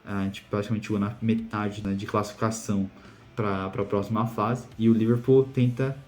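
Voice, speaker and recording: Young Brazilian man, low-pitched, loose chatty football recap host. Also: flat delivery, moderate pace, darkish tone, soft background music. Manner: chatty, many fillers and restarts, asks viewers for opinions. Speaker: football news channel host. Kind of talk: casual weekly football recap.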